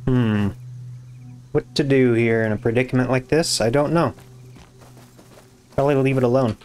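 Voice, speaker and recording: A hoarse young man with a raspy voice, casually commenting on his game as he builds, muttering to himself and swearing when things go wrong. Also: mid-range voice, fairly even intonation, normal speed, a little mellow. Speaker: gaming YouTuber. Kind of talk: casual let's-play commentary.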